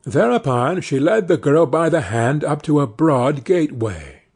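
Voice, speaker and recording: A man's voice: on the high side, animated, measured pace, a little mellow, husky. A man reading a scripted fairy tale as narrator, in storytelling style.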